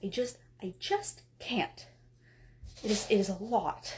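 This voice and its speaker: A woman voicing an exasperated goddess who scolds her husband, swinging between sharp outbursts and weary pleading. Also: mid-range voice, slow, a little hiss or hum, pitched below the performer's own voice, close to an everyday voice. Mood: frustrated. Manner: scolding, then pleading and tearful. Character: exasperated, emotional goddess wife.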